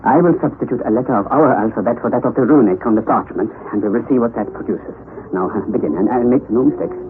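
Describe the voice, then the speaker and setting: An actor playing an eccentric, excitable, scholarly German professor of about fifty in a radio drama, theatrical and instructing. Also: male voice, medium pitch, fast, phone-quality sound, close to an everyday voice. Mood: commanding, intent. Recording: noisy, thin, band-limited old radio recording.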